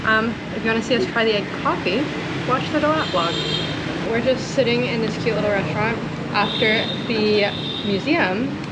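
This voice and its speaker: A woman's voice: on the high side, moderate pace, heavy background noise. A woman chattily narrating a travel vlog in a casual way. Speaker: travel vlogger.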